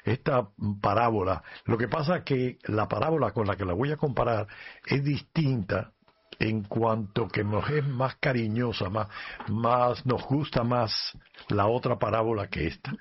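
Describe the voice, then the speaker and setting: A man who is a priest, reading a gospel passage and explaining it in a calm, measured way on a radio program. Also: medium pitch, husky, highs cut off.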